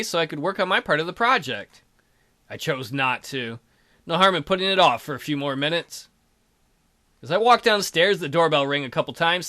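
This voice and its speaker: Animated, high-voiced man doing a let's play. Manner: playful, jokey. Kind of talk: visual novel read-aloud with joking commentary.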